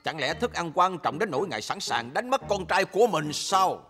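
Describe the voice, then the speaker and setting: An actor playing a wise, calm elder smurf leader in a Vietnamese cartoon dub, with a creaky, persuasive delivery. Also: male voice, on the high side, normal speed, faint music behind. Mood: earnest.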